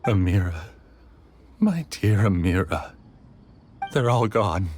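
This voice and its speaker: An actor playing a bitter, resigned scholar in a video game, brooding and dramatic. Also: male voice, deep voice, expressive, slow pace, a little mellow, slight background noise. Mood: grieving. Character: despairing male scholar, bitter, obsessed, resigned.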